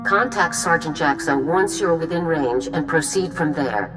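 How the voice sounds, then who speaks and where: A woman playing a composed military general, giving formal, measured briefings in a video game cutscene. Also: deep voice, over music, close to an everyday voice. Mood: composed, businesslike.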